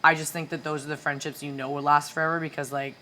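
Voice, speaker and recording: A young woman from Canada with a naturally low voice, a singer chatting casually on a podcast, full of fillers and laughter. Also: little pitch movement, fast, bright, forceful tone, slight background noise. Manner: chatty, laughs often, swears, many fillers. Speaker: guest singer.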